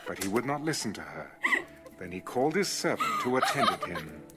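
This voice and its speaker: A man narrating an audio Bible in a scripted, dramatic storytelling style over soft music. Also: lowish voice, wildly swooping pitch, normal speed.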